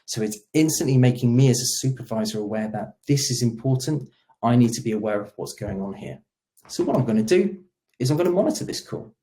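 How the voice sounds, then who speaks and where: A man giving a conversational, explanatory walkthrough of software as a product demo presenter. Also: medium pitch, lively intonation, fairly quick. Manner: explanatory, role-plays agent dialogue.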